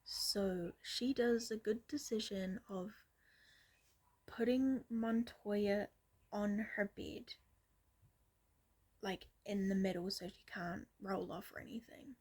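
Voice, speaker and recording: Slow breathy whispering young woman. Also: medium pitch. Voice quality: soft whisper. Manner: rambling, many fillers like "like". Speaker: storyteller. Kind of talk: whispered ASMR storytelling.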